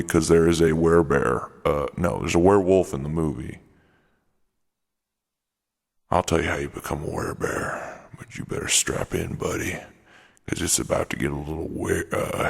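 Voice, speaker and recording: A man voicing a gruff, defensive road comic and secret werebear, rambling and halting in an improvised comedy radio play. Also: low voice. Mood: defensive, menacing. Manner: halting, rambling, irritable drawl with malapropisms. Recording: long reverberant echo.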